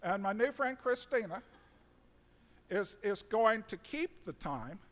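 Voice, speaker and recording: A man moderating a conference panel from a podium in a museum auditorium. He speaks in a joking, halting way with many uhs. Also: high-pitched, expressive. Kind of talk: folksy conference introduction. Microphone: podium microphone. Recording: long hall reverb.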